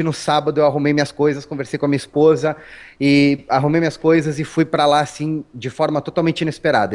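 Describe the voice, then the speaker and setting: Brief: Paulistano male guest, relaxed storyteller, raised pitch, lots of restarts and "né?". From Brazil. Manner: chatty, storytelling, frequent restarts and tag questions. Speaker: interview guest. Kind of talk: casual interview talk.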